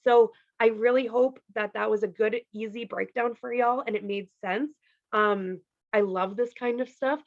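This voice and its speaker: A woman from Canada walking her team through a pay plan on a video call, chatty and full of "like" and "okay", her voice going hoarse. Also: fairly high pitch, fairly even intonation, moderate pace, no room sound, highs cut off. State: losing her voice. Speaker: team leader presenting a compensation plan. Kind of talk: casual presentation on a video call.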